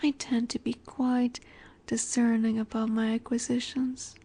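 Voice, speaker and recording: Casting: actress as a seductive vampire heiress for intimate audio roleplay, mellow and breathy, unhurried, teasing, often whispering, softly possessive. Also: female voice, a little high, little pitch movement. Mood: seductive, gentle. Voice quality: soft, breathy.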